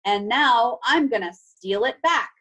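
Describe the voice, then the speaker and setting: A woman's voice: on the high side, animated, a little slow, a bit bright, breathy edge, heavily acted, poor audio. A woman voicing an indignant, defiant boy hero in a storybook read-aloud. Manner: accusing, defiant.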